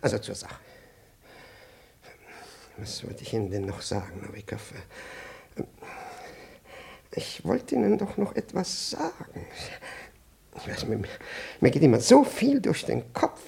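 An actor playing a pompous, flustered elderly privy councillor in a German radio play from the early sixties, stammering, repeating himself and pedantically indignant. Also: male voice, mid-range voice, huge pitch swings, normal speed, gravelly, long pauses, darkish tone, breathy edge, full character voice. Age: older.

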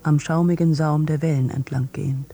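A man reads literary prose for radio in a fairly high voice. The tone is soft and somewhat dark, in the style of a recitation. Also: fairly even intonation, brisk, breathy edge. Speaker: reader in a radio literary production.

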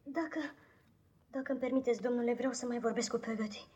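A woman's voice: a little high, fairly even intonation, warm tone, highs cut off, close to an everyday voice. A woman playing a timid, earnest ten-year-old orphan boy in a 1950s radio drama, polite and emotional.

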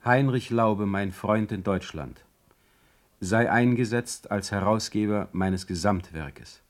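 A man's voice: lowish voice, slow pace. A man playing a sardonic, imperious German poet in exile for a radio play, declaiming his words. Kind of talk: radio play acting and letter reading.

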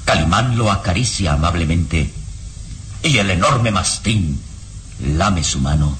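A man's voice: deep voice, lively intonation, unhurried, fairly bright tone, a little hiss or hum. A man narrating a radio serial in an emphatic, suspenseful style.

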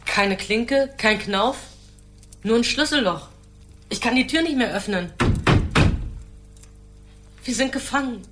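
A man's voice: extremely high pitch, lively intonation, slow, pauses often, fairly bright tone, exaggerated voice. An earnest young boy, talks with anxious urgency as the responsible older brother in a radio play. Faint noise sits behind the voice. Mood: alarmed. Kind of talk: German radio play acting.

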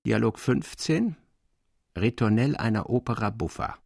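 Male narrator, scripted literary radio reading, creaky voice, medium pitch, dry close sound. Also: unhurried, a little mellow, dry recording.

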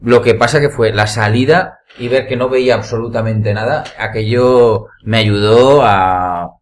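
A man's voice: medium pitch, normal speed. Male podcast panelist, Spain accent, mid-range voice, recounting stories informally among friends. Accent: Spain Spanish. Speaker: podcast panelist and amateur actor. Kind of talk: casual group chat, telling anecdotes.

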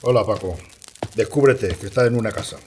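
A man's voice: fairly low pitch, brisk, darkish tone, slight background noise, close to an everyday voice. A man from Spain acting an embittered, vengeful rural man in a radio play, terse and brooding. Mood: defiant. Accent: Spanish, from Spain.

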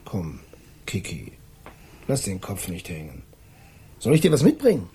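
A frazzled, absent-minded middle-aged father in a radio play. The voice is rough and husky and very mobile in pitch, with an exasperated edge. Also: huge pitch swings, measured pace, warm tone, full character voice. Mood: regretful, subdued. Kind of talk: German radio play acting.

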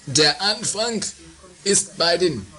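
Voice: medium pitch, animated, takes it slowly, pushed and bright. A performer playing a hungry, fussy, melodramatic housemate in a comedy radio play, a little hesitant. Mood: solemn, halting. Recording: 1980s homemade radio play, faint music.

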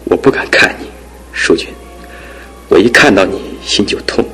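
An actor in a radio drama playing a despairing young man crippled in one leg, his talk swinging from hushed to anguished outbursts. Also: on the low side, animated, moderate pace, slightly rough, soft background music, exaggerated voice. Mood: bitter. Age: young adult. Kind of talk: broadcast radio drama acting.

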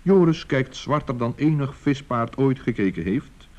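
A man tells a children's story as radio-play narrator. His medium-pitched voice follows a script, and the old recording sounds slightly muffled. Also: animated, unhurried, darkish tone.

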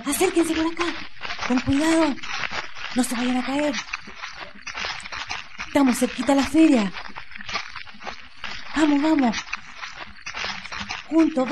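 Una actriz de radioteatro con acento chileno que interpreta a una mujer que guía a sus vecinos de noche, urgente y cuidadosa, con frases cortas. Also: female voice, high voice, noisy background. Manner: calling out directions in short phrases. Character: woman guiding neighbours through darkness, urgent, careful. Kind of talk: radio drama acting.

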